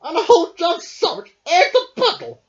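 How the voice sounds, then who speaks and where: A man's voice: extremely high pitch, big pitch swings, normal speed, vocal fry, voice pushed up, heavily acted. A man voicing a grumpy big male bear in a storybook, blustering and grumbling, in a dull lo-fi recording.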